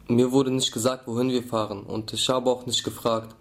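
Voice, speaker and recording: A man's voice: medium pitch, flat delivery, normal speed, a bit bright. A young man from the former Soviet Union recalling his own childhood in a documentary interview. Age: young adult. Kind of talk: personal recollection.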